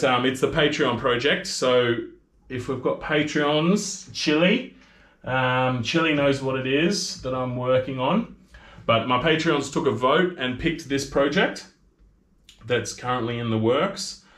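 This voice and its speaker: An Australian man in his thirties rambles casually through a livestream from his home workshop, talking to his viewers at a middle pitch. His voice comes through camera audio and bounces around an echoey room. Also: fairly bright tone. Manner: chatty, rambling, reads out viewer comments. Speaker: maker hosting a livestream.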